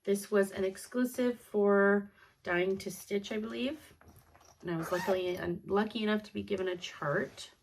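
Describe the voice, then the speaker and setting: A woman's voice: medium pitch, normal speed, slight background noise. A stuffed-up woman in casual, rambling chat about her stitching projects, chatty and apologetic. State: congested, stuffed up. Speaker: cross-stitch vlogger.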